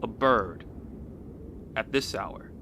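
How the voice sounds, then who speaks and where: A man's voice: lowish voice, slow, noisy background. A man playing an anxious, doubting young teammate, nervous and hesitant, in an audio drama. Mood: worried.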